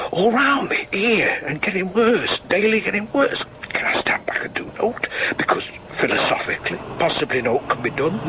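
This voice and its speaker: An actor playing a weary, dogged union activist in a radio drama, brooding and repetitive. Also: male voice, high voice, bright, forceful tone, slight background noise, full character voice, poor audio. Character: weary, dogged male union activist plodding through despair. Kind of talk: broadcast radio drama interior monologue.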